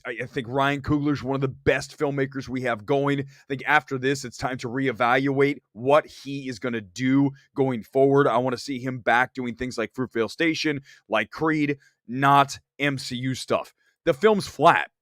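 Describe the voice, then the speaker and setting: A man's voice: medium pitch, fairly even intonation, normal speed, fairly bright tone, no echo, clean studio sound. A man with a slight cold hosting a movie talk show, giving emphatic, conversational opinions and reading out viewer comments.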